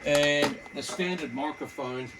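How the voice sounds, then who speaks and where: A middle-aged or older Australian man giving a casual, unscripted product walkthrough in a laid-back, halting, rambling way. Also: fairly high pitch, noisy background. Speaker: hobbyist radio reviewer.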